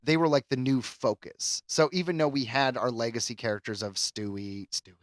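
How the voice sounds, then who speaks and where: A man co-hosting a podcast, chatting casually and rambling through animated asides. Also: medium pitch, a little fast, no echo, studio quality. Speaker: podcast co-host. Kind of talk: casual chat about horror films.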